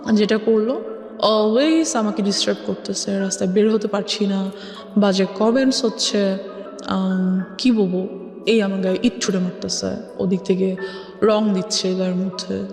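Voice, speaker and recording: A woman's voice: mid-range voice, normal speed, a little mellow. A woman with a Bangladeshi accent telling a personal story as a guest in a conversational way, often switching into English. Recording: echoey long reverb, music bed. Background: background music.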